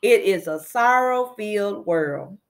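A woman reading the part of a kindly, wise older female librarian in a storybook, measured and gently explaining. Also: medium pitch, lively intonation. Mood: wistful, kindly. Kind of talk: storybook character read aloud.

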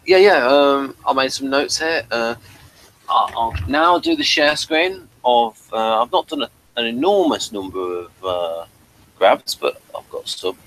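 A middle-aged man hosts an informal review from a headset at home. His voice is bright and sharp at an ordinary male pitch. He speaks haltingly, circling back over words as he thinks aloud. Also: lively intonation, slight background noise, rough recording. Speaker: host of a review show. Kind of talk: casual video-call review chat. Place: small cluttered room at home. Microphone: headset on a video call.

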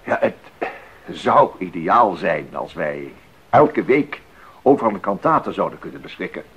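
A man playing a hesitant, officious church official in a Dutch radio drama, halting and formally polite. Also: low-pitched, big pitch swings, a bit bright, slightly rough, heavily acted. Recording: dull 1980s radio recording.

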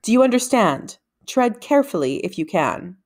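A brusque woman wizard from a storybook, read by a female narrator, grumbling and cautioning. She speaks deliberately. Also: mid-range voice, big pitch swings, a little mellow.

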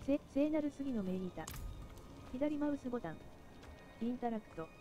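Female game streamer, higher voice, halting read-aloud of menus and quests, music underneath. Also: animated, mellow. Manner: halting, repeats words. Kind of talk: reading game text aloud.

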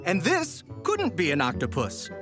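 A woman's voice: on the low side, wildly swooping pitch, unhurried. A woman narrating a children's storybook read-aloud in a playful, emphatic storytelling manner. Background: music under the narration.

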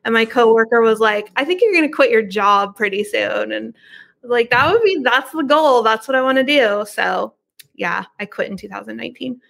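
A woman's voice: fairly high pitch, lively intonation, moderate pace, gravelly, a bit bright. A middle-aged woman chatting informally as an interview guest, speaking from home over a video call. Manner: informal, conversational. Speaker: podcast guest, book reviewer and horror entrepreneur. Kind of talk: casual interview chat.

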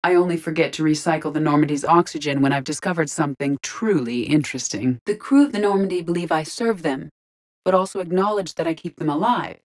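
A woman playing a calm, courteous artificial intelligence in a video game, speaking with precise, formal, measured diction and a deadpan manner. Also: low-pitched. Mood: composed, matter-of-fact. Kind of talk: scripted video game dialogue.

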